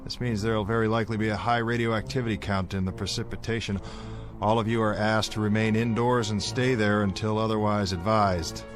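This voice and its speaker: An actor playing a stern, officious messenger to survivors, speaking formally as if making announcements in a radio drama. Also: male voice, lowish voice, fairly even intonation, a little fast, over music, natural voice. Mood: stern, official.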